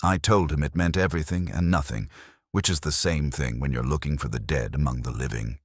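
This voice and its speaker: A man playing a brooding, melancholy detective, narrating his case in a measured, literary, reflective way. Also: a bass voice, husky, no echo, clean studio sound. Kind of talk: scripted first-person detective narration.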